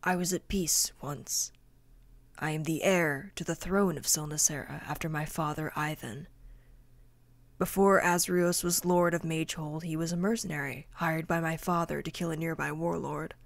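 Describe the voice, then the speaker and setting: A woman's voice: sits low, fairly even intonation, normal speed, creaky, no echo. A woman playing a haughty, vengeful royal heir and swordmaster, speaking formally in a fantasy audio drama. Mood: grave, bitter.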